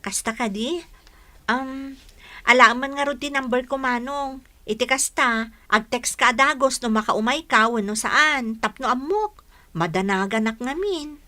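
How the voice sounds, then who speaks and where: Bright-voiced coy young village woman played by an actress. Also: high voice, measured pace. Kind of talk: radio comedy drama acting.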